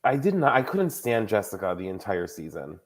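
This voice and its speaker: Male podcast host, 30s, lowish voice, loose filler-heavy chat. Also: big pitch swings, fairly quick. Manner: chatty, lots of filler words. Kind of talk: casual chat.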